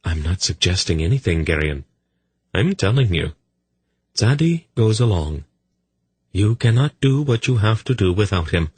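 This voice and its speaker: A man's voice: on the low side, big pitch swings, normal speed, pauses often, darkish tone, husky, no echo, pitched above the performer's own voice. A man voicing a dry, sardonic disembodied voice that speaks inside a character's mind, giving curt commands.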